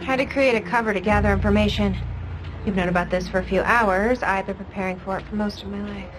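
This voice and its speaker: An actress playing a tough, wry undercover agent in a video game, brusque and sardonic. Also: female voice, medium pitch, steady tone, fast, noisy background, close to an everyday voice. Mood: urgent, guarded. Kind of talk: video game cutscene acting.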